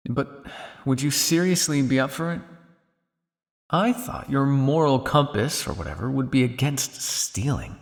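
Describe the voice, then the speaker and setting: A man's voice: mid-range voice, big-room sound, lightly acted. A man playing a cynical, world-weary vampire scientist on the run in an intimate, condescending ASMR roleplay monologue. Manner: sardonic, condescending, with exasperated sighs and dry asides.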